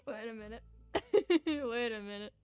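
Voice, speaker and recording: A woman giving casual, expressive live commentary as a game streamer, often reacting and muttering to herself. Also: fairly high pitch, unhurried, soft background music.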